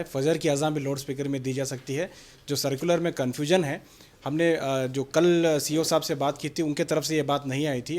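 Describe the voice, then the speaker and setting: A man working as a television news reporter, conducting an interview in the field. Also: fairly high pitch.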